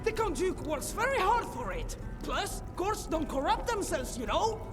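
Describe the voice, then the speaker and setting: An earnest, naive villain's underling for a comic game. The voice is extremely high and lively. It rings with long reverb, and music plays behind. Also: female voice, moderate pace. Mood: earnest, defensive. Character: eager, naive female henchwoman, earnestly loyal. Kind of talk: comic video game acting.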